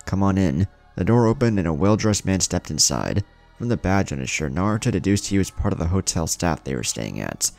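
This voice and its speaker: Male narrator, scripted storytelling, deep, creaky, expressive range, background music. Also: fairly quick.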